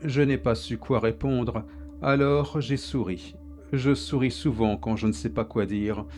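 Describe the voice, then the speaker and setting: Man, mid-pitched voice, very slow delivery, placid dark storytelling, faint music behind. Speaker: narrator. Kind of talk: scripted first-person dark story narration.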